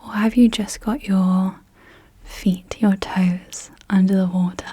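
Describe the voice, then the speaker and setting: Slow breathy whispering woman. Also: mid-range voice, fairly even intonation. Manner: soft unvoiced whisper throughout; slow, gentle questioning. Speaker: ASMR host. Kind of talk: whispered ASMR guided visualisation. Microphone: close sensitive mic.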